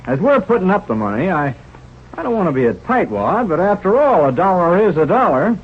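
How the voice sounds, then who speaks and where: A stingy, bossy small-town fellow in a 1930s radio drama. His voice is high and raspy and swings about as he grumbles in folksy fashion. The recording is muffled. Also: big pitch swings, a little fast, full character voice. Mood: grudging, bossy.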